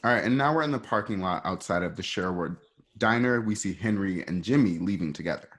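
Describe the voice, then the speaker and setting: Guy narrating a radio play scene by scene, kinda low and raspy, loose and conversational. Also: animated, a little fast, fairly bright tone. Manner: conversational, ad-libbing. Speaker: narrator reading stage directions.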